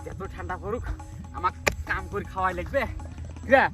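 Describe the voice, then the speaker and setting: A man's voice: high voice, a little fast, pushed and bright, faint music behind. A man playing a crafty older farmer in a village comedy skit, grumbling and arguing in a folksy rural North Bengal accent. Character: crafty, hard-working older village farmer, touchy about bribes.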